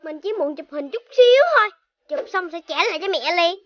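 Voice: very high voice, animated, a little fast, dull audio, heavy background noise. A performer voicing an eager, cheeky little puppy boy in a cartoon, childlike and exclamatory. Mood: boastful, playful. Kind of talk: cartoon voice acting.

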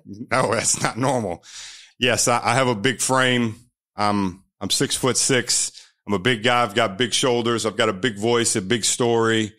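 An American man in his thirties with a somewhat low voice tells his life story as a podcast guest. He speaks earnestly and candidly, often repeating a word or two before a sentence gets going. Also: fairly bright tone. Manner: earnest, repeats words when starting sentences.

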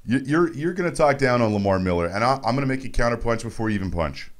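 A man's voice: medium pitch, animated, fast. A man, hosting casual sports radio with joking, teasing banter into a close studio mic. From Montreal, Canada. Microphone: close studio mic on boom arm.

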